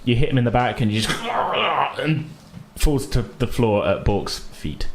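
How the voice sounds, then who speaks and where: A man's voice: medium pitch, sing-song, measured pace, a little hiss or hum. A man acting as game master, narrating and refereeing a tabletop game in a casual, informal way. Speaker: game master, podcast host. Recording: roomy echo, clear group chatter behind.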